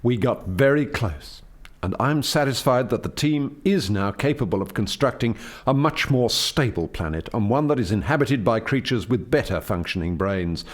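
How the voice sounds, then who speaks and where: An actor playing a dignified alien doctor in charge of a lab in a radio play, formal and composed in manner. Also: male voice, mid-range voice, lively intonation, moderate pace, natural voice. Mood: resigned, dignified. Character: dignified alien male doctor heading a planet-making lab.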